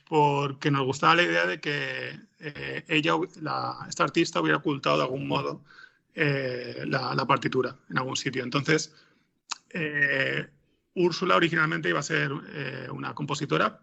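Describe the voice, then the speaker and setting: Man, accent from Spain, slightly high pitch, heavy vocal fry, hesitant, rambling, spontaneous interview answers. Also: bright, forceful tone. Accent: Spanish from Spain. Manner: hesitant, rambling, with frequent repeated words and tag questions. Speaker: interview guest, podcast scriptwriter.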